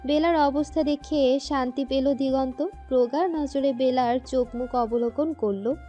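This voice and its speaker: A woman's voice: high voice, steady tone, measured pace, dark tone, faint music behind. A woman narrating a scripted romantic story.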